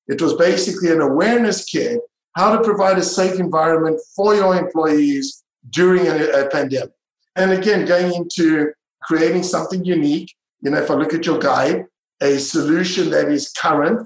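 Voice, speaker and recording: Middle-aged male entrepreneur guest, emphatic and repetitive, somewhat high-pitched, roomy echo. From South Africa, raised in Israel. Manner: emphatic, repetitive, drawn-out phrasing. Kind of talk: interview conversation. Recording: echoey room.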